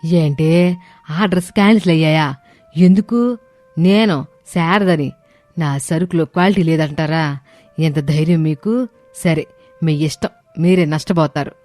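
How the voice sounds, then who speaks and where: A woman playing a haughty, sharp-tongued rich older mother-in-law who scolds imperiously in a dramatized story. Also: low voice, steady tone, normal speed, long pauses, no room sound, soft background music, close to an everyday voice. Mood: indignant.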